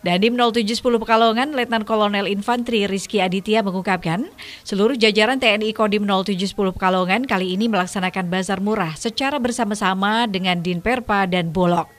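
The mid-pitched woman you hear narrating a field report on local evening television news. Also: fairly even intonation, quick pace. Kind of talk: scripted voice-over narration.

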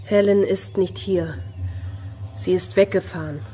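A woman's voice: fairly low pitch, steady tone, unhurried, mellow, natural voice. An actress playing a deluded, imperious woman with an erratic, haughty manner in a crime radio play. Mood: distressed, stubborn. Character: deluded, unstable young woman with split personality, imperious.

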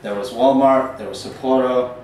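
A man's voice: mid-range voice, steady tone, moderate pace, a little mellow. A man in his thirties from Northern Ireland with an American accent, telling travel stories in a rambling, um-filled lecture with self-deprecating humor. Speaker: poet giving a talk. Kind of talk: casual storytelling lecture. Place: small gallery room, indoors. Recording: echoey room from far off, faint noise.

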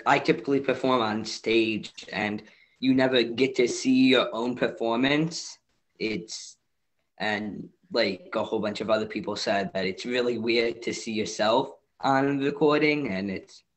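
Lowish-voiced young man in casual chat. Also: rough recording. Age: young adult. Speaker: stage actor, panel guest. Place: indoors on a video call. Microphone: video call.

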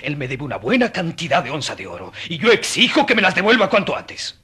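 A stern merchant father for radio drama. His voice is fairly high and bright, with a strong rasp. He scolds indignantly and hammers each grievance. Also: sing-song, a little fast, heavily acted. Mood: angry. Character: stern, irate, money-minded merchant father. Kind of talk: Spanish-language radio drama acting.

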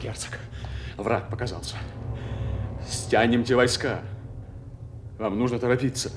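A ruthless, scheming young commander played by a man declaims coldly in a mid-pitched voice. It is a radio tragedy on an old, clearly noisy recording. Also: steady tone, measured pace, natural voice. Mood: pressing. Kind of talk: classical stage tragedy radio acting.

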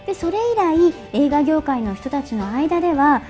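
A woman with a very high voice reads scripted television news. She articulates politely and clearly, with soft music faintly behind her. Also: animated, moderate pace. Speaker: news presenter. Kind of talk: scripted news presentation and narration. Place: TV studio.

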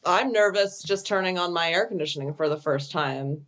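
A woman's voice: on the low side, normal speed, fairly bright tone. A woman co-hosting a podcast over a video call, joking and laughing easily. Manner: playful, laughs often. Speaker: podcast co-host. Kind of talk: casual chat, joking.

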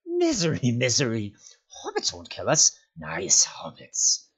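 A man voicing a wretched, cunning cave creature in a storybook reading, whining and hissing, with raspy whispers that break into a shrill voice. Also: wildly swooping pitch, a little slow, a bit bright, exaggerated voice. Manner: whimpering, shrieking, speaks of himself as "we".

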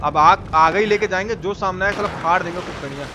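A man's voice: high-pitched, fast, noisy background. A man with a Bihari accent giving colloquial, slangy live gameplay commentary, playfully repeating his phrases. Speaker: game streamer.

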